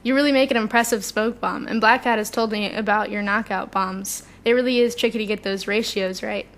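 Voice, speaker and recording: A woman's voice: mid-range voice, fairly even intonation, a little fast, natural voice. A woman playing an eager, nerdy superhero sidekick in an audio drama, polite, chatty and earnest. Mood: eager, admiring.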